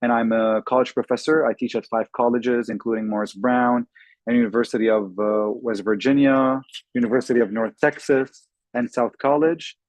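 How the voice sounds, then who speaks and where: A man's voice: mid-range voice, fairly even intonation, moderate pace, no room sound. Man from Lebanon, fluent and listing. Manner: fluent, listing, with filler ums. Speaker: panelist. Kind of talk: self-introduction on a webinar panel. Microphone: video call.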